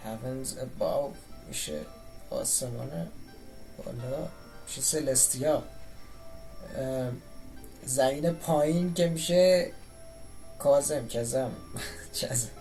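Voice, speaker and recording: A man's voice: mid-range voice, a little fast, pauses often, warm tone, faint music behind. A man who speaks Persian natively, giving joking, sarcastic casual commentary as he streams a game.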